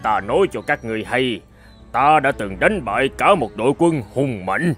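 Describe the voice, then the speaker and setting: A man's voice: mid-range voice, expressive, moderate pace, fairly bright tone, soft background music, lightly acted. A man voicing a boastful, brutish monster in a fairy-tale cartoon, with a sneering, taunting manner. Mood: gloating. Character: boastful, brutish male monster with a giant club.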